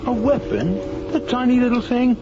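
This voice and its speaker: A man's voice: very high-pitched, moderate pace. A man playing a wary, mocking first mate on a space freighter in a radio drama. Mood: wary scorn. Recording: dull radio recording with music behind.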